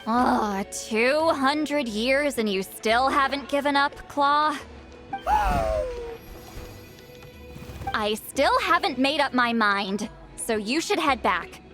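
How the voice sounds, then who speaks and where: A woman's voice: high-pitched, slow pace, music in the background. A woman voicing a blunt, grumbling, centuries-old shaman in a scripted video game. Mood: dismissive. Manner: brusque, grumbling, casual. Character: centuries-old female shaman, youthful-sounding, blunt and testy.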